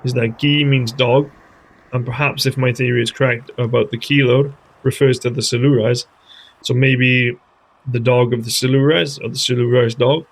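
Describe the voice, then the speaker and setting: A man, hosting his own history channel and reading a scripted voice-over in a measured, explanatory way. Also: medium pitch, fairly even intonation, low-quality recording. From Wales. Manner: measured, explanatory, tentative about theories.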